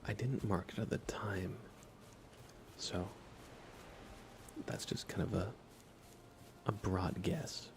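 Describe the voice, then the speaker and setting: Soft-spoken man, slow, low and gravelly. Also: noisy background. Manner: soft-spoken, often dropping into whispers; rambling, hesitant, musing aloud. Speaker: livestream game streamer. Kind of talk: relaxed live gaming commentary, ASMR.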